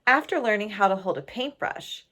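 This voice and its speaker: A woman's voice: mid-range voice, animated, bright, forceful tone. A woman reading a storybook aloud expressively to listeners, engaging them like a teacher. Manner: pauses to question listeners. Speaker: storybook reader.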